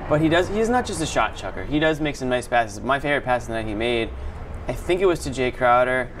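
A man's voice: mid-range voice, animated, fast talker, noisy background. An American man co-hosting a sports show, commenting on a game in casual, chatty language. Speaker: sports show co-host and analyst.

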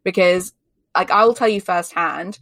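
A woman from Australia with an English accent answers interview questions in an emphatic, chatty way. Her voice sits a little low, and the recording is close and dry. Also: normal speed, gravelly, a bit bright, no echo. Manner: emphatic, chatty, many "like" fillers. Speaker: guest, personal branding expert. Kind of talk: candid conversational interview answers.

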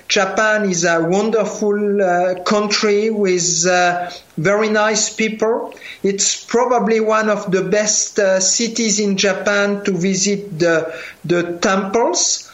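A man with a French accent, speaking as a scientist guest in an interview, hesitating with many fillers and repeated words. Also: sits high. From France. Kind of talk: remote interview, casual. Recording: echoey, dull lo-fi remote audio.

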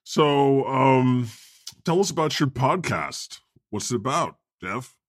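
A man's voice: mid-range voice, expressive, slow pace, no room sound, clean studio sound. A man hosting a casual interview with joking, teasing banter. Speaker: podcast host, interviewer.

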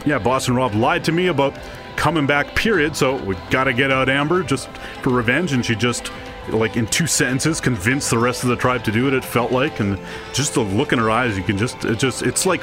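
A man co-hosting an entertainment show, chatting casually and enthusiastically in a rambling, colloquial way. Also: mid-range voice. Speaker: co-host of an entertainment review show. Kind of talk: casual pop culture chat.

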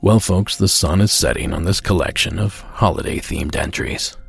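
A man with a very low, gravelly voice hosts a podcast, reading his narration in a measured storyteller's delivery. His tone is husky, with a faint music bed underneath. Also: no echo. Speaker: podcast host.

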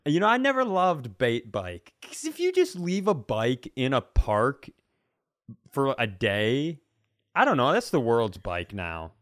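A man with a smooth, clear voice in a close, dry recording, chatting as a comedian and podcast co-host. He tells everyday stories with sarcasm and self-mockery, swearing casually along the way. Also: medium pitch, wildly swooping pitch, unhurried, a bit bright, no room sound. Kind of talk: casual comedic chat and storytelling.

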